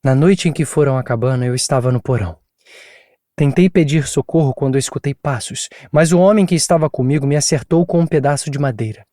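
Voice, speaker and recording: A man's voice: medium pitch, a little fast, dark tone, no room sound, clean studio sound, close to an everyday voice. A man voicing an exhausted, traumatized woman as she recounts her abduction in a tense, pleading, weary way.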